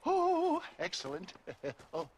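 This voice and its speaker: A pompous older con-man peddling tonics, his voice pitched high and swooping all over with theatrical flair. He chuckles and wheedles through every line like a showman in a game cutscene. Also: huge pitch swings, takes it slowly, a little hiss or hum, heavily acted. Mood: theatrical scheming. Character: flamboyant old male con-man tonic salesman. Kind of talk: video game cutscene acting.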